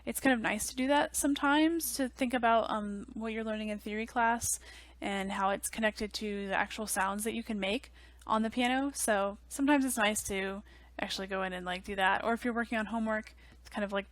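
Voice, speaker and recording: A young woman teaching music theory in a relaxed, chatty way, full of ums and likes, on a headset mic in a small office. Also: medium pitch, dry recording. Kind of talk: casual online lecture.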